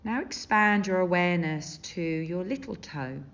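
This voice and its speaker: A woman leading a guided meditation as a teacher, gentle and soothing, with unhurried phrases and long pauses. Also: fairly low pitch, animated. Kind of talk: guided body scan meditation. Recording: dull, muffled lo-fi sound.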